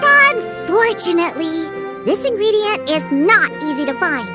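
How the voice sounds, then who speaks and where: A woman's voice: extremely high pitch, very animated, measured pace, heavily acted. A woman voicing a grumpy, sceptical young cat-girl bartender in a video game, huffy and sardonic. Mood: matter-of-fact, doubtful. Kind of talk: video game cutscene voice acting. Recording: loud game music behind voice.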